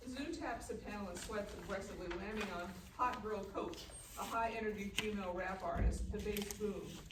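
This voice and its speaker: A woman narrates screenplay stage directions in a medium-pitched voice. It is a live table read, recorded from a distance in an echoey room. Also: a little fast. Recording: echoey, distant room mic with clear noise.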